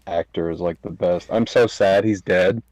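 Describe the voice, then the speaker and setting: Low voiced guy on a podcast, slangy n opinionated, really dry close sound. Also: moderate pace, a little mellow, dry recording. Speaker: podcast guest. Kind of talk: casual chat.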